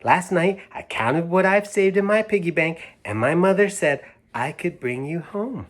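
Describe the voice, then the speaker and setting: A man's voice: high voice, animated, moderate pace, voice pushed up. Man voicing a sweet, earnest little storybook girl. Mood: eager.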